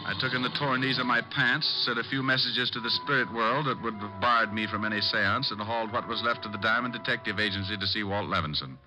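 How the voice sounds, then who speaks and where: An actor playing a wisecracking, world-weary private detective with a sardonic, punchy delivery in an old radio drama style. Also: male voice, fairly low pitch, fairly even intonation, fast, hardly any pauses, natural voice, rough recording. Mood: wry narration. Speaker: lead actor and narrator. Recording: vintage radio recording with faint music.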